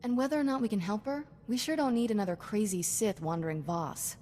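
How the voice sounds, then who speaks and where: A woman plays a cocky young female Jedi sidekick in a video game. She banters in a wry, breezy, clipped way at a middling pitch, with long reverb and a faint rumble. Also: fairly quick, darkish tone, natural voice. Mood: matter-of-fact.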